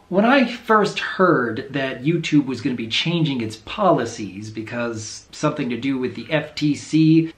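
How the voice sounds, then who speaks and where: A man in his thirties with a low voice, talking earnestly to camera in a home video, thoughtful and openly unsure. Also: a bit bright, echoey room. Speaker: video creator. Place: small room indoors at home.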